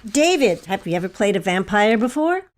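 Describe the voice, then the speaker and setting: A woman hosting an arts show, putting polished questions to guests in an articulate, deliberate way. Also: mid-range voice, very animated. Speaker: host, interviewer.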